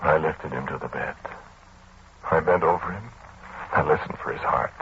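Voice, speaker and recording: An actor playing a haunted, earnest man who recounts a dark tale in old-time radio style, with dramatic, broken phrasing. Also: rumbling low, normal speed, gravelly. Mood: grave, shaken. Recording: muffled, hissy old radio recording.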